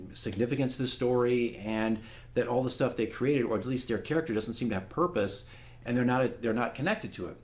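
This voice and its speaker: A man speaking candidly and casually to viewers as a dungeon master, earnest and self-critical in a conversational way. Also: mid-range voice. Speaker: dungeon master giving a campaign diary.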